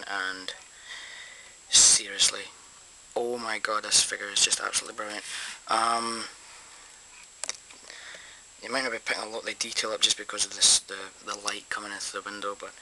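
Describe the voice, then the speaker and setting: An excitable Scottish man talks through a toy review in a flat, very bright voice. He rambles, stops to say um often, and gushes about the details. A faint hiss sits under the recording from a camera mic in a small room. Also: fairly low pitch, poor audio. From Scotland. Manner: enthusiastic, rambling with many fillers. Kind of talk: casual toy review vlog.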